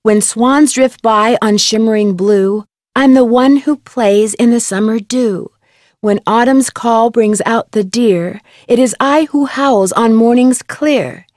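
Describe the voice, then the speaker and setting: An actress playing a curious, determined young detective in an adventure game, with an American accent and clear, expressive delivery. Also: female voice, on the high side, slow pace, dry recording, clean studio sound. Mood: puzzled, determined. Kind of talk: scripted adventure game voice lines.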